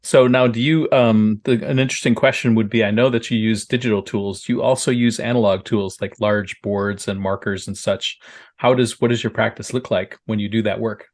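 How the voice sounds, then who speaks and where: A man's voice: fairly low pitch, fairly even intonation, a little fast. A man hosting a podcast interview, introducing his guest and asking curious, friendly questions. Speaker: podcast host.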